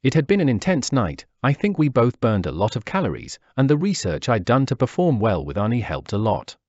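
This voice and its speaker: A man's voice: mid-range voice, wildly swooping pitch, fairly quick, darkish tone, no echo. A man, reading a wistful first-person memoir. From Peru. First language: Spanish. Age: 40s. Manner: wistful, reflective. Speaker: narrator. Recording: dull dry lo-fi recording.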